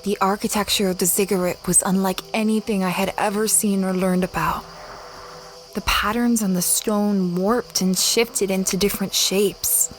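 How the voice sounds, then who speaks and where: A woman's voice: mid-range voice, fairly even intonation, moderate pace, a little hiss or hum, lightly acted, rough recording. A woman playing a dreamy space explorer who recounts her adventures in a reflective, conversational way, as audio drama narration. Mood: awed, reflective.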